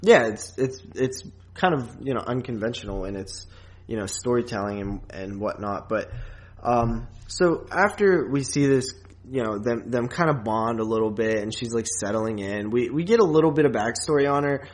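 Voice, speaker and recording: A man's voice: mid-range voice, moderate pace. Rambling man chatting as a podcast co-host. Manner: rambling, frequent filler words, casual swearing. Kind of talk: casual film review chat.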